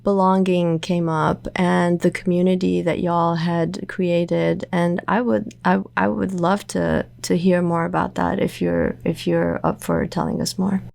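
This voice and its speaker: A woman's voice: lowish voice, level tone, measured pace, vocal fry, hardly any pauses, darkish tone. A woman hosting a podcast interview, friendly and a bit hesitant with frequent "um", teasing her guest. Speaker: podcast host.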